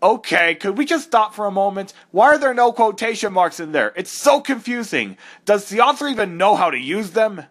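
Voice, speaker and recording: Man voicing an irritable high-pitched cartoon echidna. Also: sing-song, normal speed, hardly any pauses, a bit bright, heavily acted. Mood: exasperated. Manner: indignant, scornful, easily exasperated. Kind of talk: comedic dramatic reading with exasperated asides.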